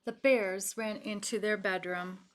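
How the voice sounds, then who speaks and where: A woman's voice: mid-range voice, unhurried, slight background noise. A woman reading a children's story aloud expressively, speaking directly to young listeners. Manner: animated, addressing young listeners with questions. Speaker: storybook reader.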